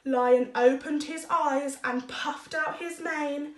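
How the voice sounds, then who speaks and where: A woman narrating a children's rhyming story expressively, deliberately, with dramatic emphasis. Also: high-pitched, fairly even intonation. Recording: roomy echo, distant microphone.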